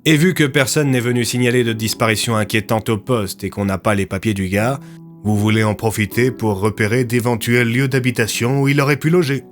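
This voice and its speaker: A man's voice: medium pitch, fairly even intonation, fairly quick, faint music behind, natural voice. A man voicing a dry, slightly befuddled male agency director in an audio drama, matter-of-fact in manner.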